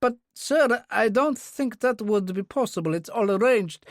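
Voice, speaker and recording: A man's voice: high-pitched, expressive, moderate pace, no echo, studio quality, voice pushed up. A man voicing a formal, anxious male aide with a stiffly polite, servile manner in an audiobook story. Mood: flustered.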